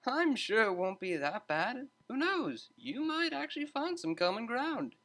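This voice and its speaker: A narrator voicing a cheerful, meddling male pony psychology tutor with an animated lecturing manner. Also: mid-range voice, measured pace, pitched above the performer's own voice, heavily acted. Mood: breezy.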